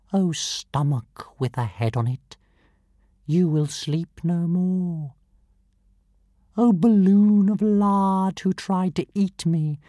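A man voicing a tiny, arrogant male dragon who speaks grandly and insults everyone, in a squeaky, haughty manner. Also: no room sound, clean studio sound, full character voice. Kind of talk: audiobook character voice.

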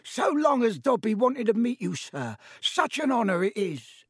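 A tiny male house elf, with a very high, squeaky voice that swings widely. He is servile and anguished, pleading in a strained storybook character style. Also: big pitch swings, measured pace, breathy edge, no room sound, clean studio sound, full character voice. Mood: awed, eager. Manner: pleading, talks of himself in third person. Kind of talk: audiobook character voice.

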